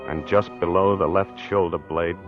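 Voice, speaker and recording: An American actor playing a mild, befuddled middle-aged husband in a 1940s radio mystery, hesitant and deliberate. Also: male voice, low-pitched, steady tone, lightly acted. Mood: reflective, subdued. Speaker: narrator and lead character. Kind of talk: 1940s radio acting, first-person narration. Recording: muffled old radio recording with music.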